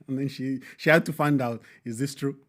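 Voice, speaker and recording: A man's voice: medium pitch, steady tone, fairly quick. A man hosting a reaction commentary, talking over a clip and repeating its key lines. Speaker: commentary host.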